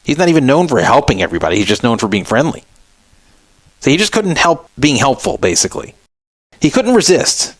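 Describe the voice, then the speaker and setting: A man's voice: mid-range voice, sing-song, brisk, no echo. A man hosting a talk radio show, giving opinionated commentary and scoffing sarcastically at a statement he reads aloud.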